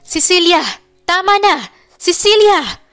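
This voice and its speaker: A woman's voice: very high-pitched, expressive, slow pace, a bit bright, faint music behind, pitched above the performer's own voice, full character voice. A woman narrator playing a cheating young boyfriend, urgent and pleading, in a melodramatic romance story. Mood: alarmed.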